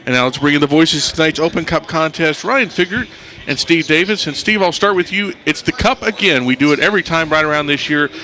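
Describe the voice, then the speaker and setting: Man, pregame radio host, bright tone, slightly high voice, reads lineups and asks questions. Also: a little fast. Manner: reads lineups, then poses questions to the analysts. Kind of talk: radio sports pregame hosting.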